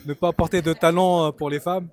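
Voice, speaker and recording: A man answers interview questions in a measured, hesitant way, filling his pauses. His voice sits a bit high for a man. The interview takes place at a trade fair. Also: fairly even intonation, darkish tone. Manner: hesitant, many fillers, measured. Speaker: importer, interview guest. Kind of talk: casual spoken interview. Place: busy trade fair hall.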